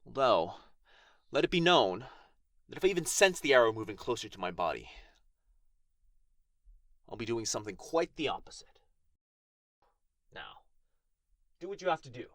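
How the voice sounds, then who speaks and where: Man voicing a haughty, theatrical dragon, dramatically animated. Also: mid-range voice, moderate pace, pauses often, no echo, studio quality. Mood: grudging, threatening. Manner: pompous, theatrical, archly formal phrasing. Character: proud, haughty injured male dragon, grudgingly grateful, teasingly possessive. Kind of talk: boyfriend ASMR fantasy roleplay acting.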